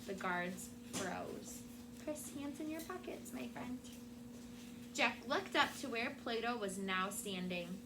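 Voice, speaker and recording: A woman's voice: on the high side, lively intonation, slow. A woman teacher reading a storybook aloud to young children with dramatic, emphatic phrasing. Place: classroom. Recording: noisy room with background ambience.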